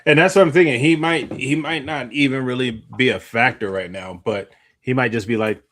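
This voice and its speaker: A man's voice: medium pitch, normal speed, a bit bright. A man chatting casually as a podcast co-host, rambling and often breaking off to reword himself. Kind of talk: casual chat.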